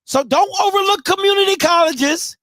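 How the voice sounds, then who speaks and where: A high-pitched man in his thirties hosts a live call-in show, talking bluntly and emphatically. He swings from quiet asides to shouting, and the sound is dry and close. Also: moderate pace, no room sound. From Maryland. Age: 30s. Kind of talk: casual advice talk to listeners.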